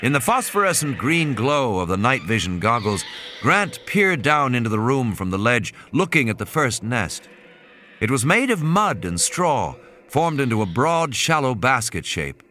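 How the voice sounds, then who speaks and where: A man's voice: medium pitch, pushed and bright, a little hiss or hum. A man reading scripted dramatic narration as an audiobook narrator.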